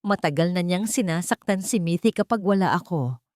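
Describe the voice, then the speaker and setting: A woman's voice: fairly low pitch, brisk, a little mellow, no echo, clean studio sound, natural voice. A woman voicing a scheming wife who accuses with theatrical, feigned anguish in an audio drama. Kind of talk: melodramatic audio drama acting.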